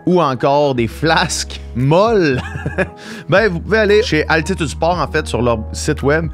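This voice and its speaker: A man's voice: fairly high pitch, lively intonation, a little slow, soft background music. A man with a Québécois French accent hosting a casual podcast interview, laid-back and laughing easily. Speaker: podcast host.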